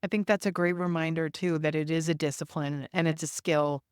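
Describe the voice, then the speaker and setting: A woman with a somewhat low, smooth and clear voice hosts a podcast interview, friendly and upbeat as she asks questions. Her voice sounds close and dry. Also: fairly even intonation, fairly quick, dry recording. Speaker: podcast host. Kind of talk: interview hosting.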